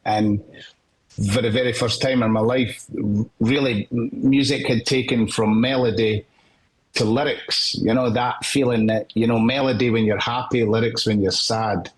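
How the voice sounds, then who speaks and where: A man's voice: medium pitch, steady tone, moderate pace, slightly rough, low-quality recording. A Scottish man, a singer-songwriter guest on a podcast, telling his story in an earnest, reflective way. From Scotland. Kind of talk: podcast interview.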